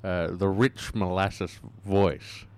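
A man's voice: low-pitched, fairly even intonation, slow pace. A man co-hosting a comedy podcast, delivering absurd jokes completely straight-faced. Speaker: podcast co-host.

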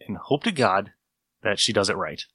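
A male host with a fairly low, forceful bright voice chats casually and wryly as he recaps a story. The sound is close and dry. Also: animated, moderate pace, husky, dry recording. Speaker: podcast host.

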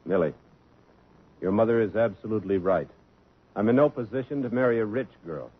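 A proud, earnest young suitor in an old radio comedy. His voice is low and husky. The broadcast sounds thin and phone-like. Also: brisk. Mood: proud, hurt. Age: young adult. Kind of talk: 1950s radio comedy acting.

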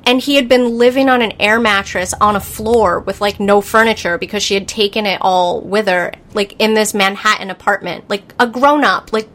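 A woman's voice: medium pitch, fairly bright tone. A woman in her thirties hosts a podcast, telling stories about herself in a loose, rambling way. She fills her talk with "like" and swears freely, laughing at her own mishaps. Her voice sits in an ordinary middle register. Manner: swearing, rambling, self-mocking, many fillers. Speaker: podcast host. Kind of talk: candid conversational storytelling.

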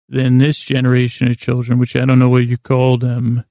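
A middle-aged American man hosting a sleep podcast, rambling in a gravelly voice with halting, self-deprecating detours that trail off and circle back. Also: mid-range voice, monotone, mellow, no echo. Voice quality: gravelly, creaky. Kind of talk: rambling, meandering bedtime monologue.

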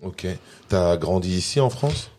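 A man hosting a podcast, reading his intro and then asking relaxed, brief questions. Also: low voice, measured pace, no echo. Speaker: podcast host. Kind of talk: scripted podcast intro, then casual interview.

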